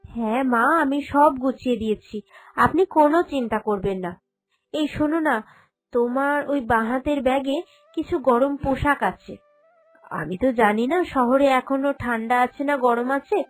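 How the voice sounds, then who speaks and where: A woman voicing a gentle, dutiful young village bride in a cartoon, speaking in a considerate, accepting way. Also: high-pitched, unhurried. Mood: caring. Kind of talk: cartoon character dialogue. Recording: music behind the voice.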